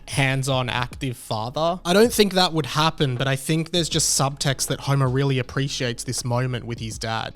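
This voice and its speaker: A man's voice: medium pitch, a bit bright, clear, resonant tone. A young man with an Australian accent giving earnest, reflective video essay commentary.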